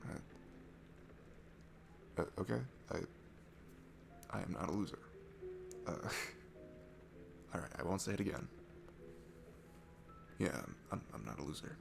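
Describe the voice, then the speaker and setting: A man's voice: deep voice, very animated, takes it slowly, vocal fry, long pauses, over music, exaggerated voice. A young man playing a shy, nervous, sweet guy at a bar in an ASMR roleplay, talking in a hesitant, rambling, self-deprecating way. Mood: sheepish. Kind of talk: ASMR roleplay, one-sided intimate conversation.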